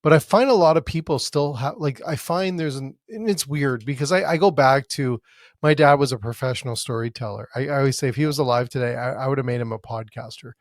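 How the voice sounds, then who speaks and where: A man's voice: medium pitch, quick pace, no room sound, studio quality. A man hosting a podcast in casual conversation, talking in loose rambling runs with repeated starts. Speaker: podcast host.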